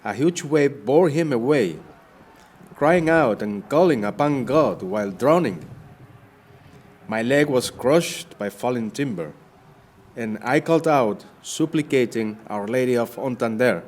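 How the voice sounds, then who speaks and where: Actor as courtly spanish armada-era captain, mid-pitched male voice, formal and declamatory, radio play. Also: expressive, a little slow, a little mellow. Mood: grieving. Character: proud, courtly Spanish naval captain, shipwrecked and earnest. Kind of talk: audio play monologue.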